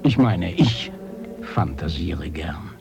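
An actor in a German radio play voicing a genial, rhapsodic wanderer, declamatory and drawn out, fading into breathy murmurs. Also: male voice, sits low, animated, slow pace, exaggerated voice. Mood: amiable, musing. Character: genial, rhapsodic wanderer who loves to fantasise. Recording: loud music under the voice.

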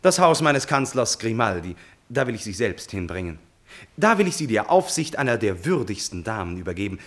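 An actor playing a self-assured, condescending prince in a classical German radio drama, with a courtly, theatrical way of talking. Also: male voice, fairly low pitch, very animated, a little fast, fairly bright tone. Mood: placating.

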